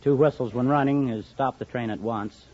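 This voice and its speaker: A man's voice: medium pitch, moderate pace. A man, a contestant on a 1950s radio show, answering literally in a hesitant, matter-of-fact way. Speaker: train conductor, quiz show contestant. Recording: muffled 1950 radio recording, faint noise.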